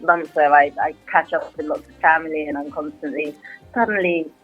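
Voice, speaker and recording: A woman's voice: low voice, steady tone, moderate pace, husky, phone-quality sound, faint music behind. A woman from Nigeria who grew up in the UK, a dentist guest on a radio interview, chatty and laughing often. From Nigeria, raised in the UK.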